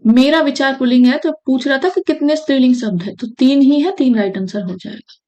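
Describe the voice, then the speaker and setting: A woman's voice: sits high, a little fast, a little mellow, dull audio. A woman from India teaching an exam-prep grammar lesson. She repeats key phrases and keeps checking in with her students.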